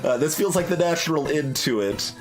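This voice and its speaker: A man's voice: fairly high pitch, expressive, a little fast. A man giving casual playthrough commentary, thinking aloud in a hesitant, rambling, analytical way. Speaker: game streamer. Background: background game music.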